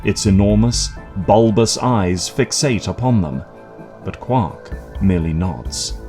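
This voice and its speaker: Deep, mellow male narrator. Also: animated, faint music behind. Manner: measured, descriptive. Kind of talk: scripted narration.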